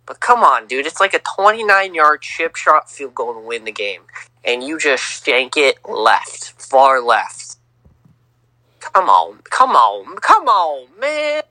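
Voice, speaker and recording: A young man co-hosting a casual sports podcast, teasing and lively, on a home mic. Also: medium pitch, takes it slowly, dry recording. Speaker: podcast co-host.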